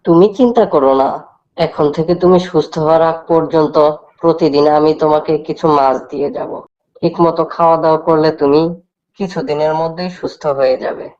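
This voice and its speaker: A kindhearted cartoon fisherman played by a woman, with a very low voice. The delivery is earnest and plain-spoken, on a somewhat lo-fi recording. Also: steady tone, moderate pace, mellow, natural voice. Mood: kind. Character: kindhearted, hardworking male fisherman. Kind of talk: cartoon character dialogue.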